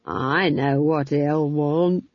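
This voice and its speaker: A woman's voice: low voice, steady tone, drawn-out words, dry recording, muffled recording, heavily acted. A woman voicing a jolly, bawdy old witch with a cracked voice and a drawling folksy dialect in an audiobook. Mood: gleeful, reminiscing. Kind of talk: audiobook character voice.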